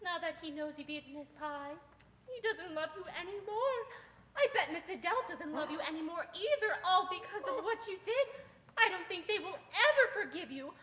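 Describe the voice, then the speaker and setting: A woman's voice: very high-pitched, animated, sharp tone, heavily acted. A woman playing a sly gloating villain cat. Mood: taunting. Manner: taunting, drawn-out sing-song delivery. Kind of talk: children's musical audio story. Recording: roomy echo with faint music.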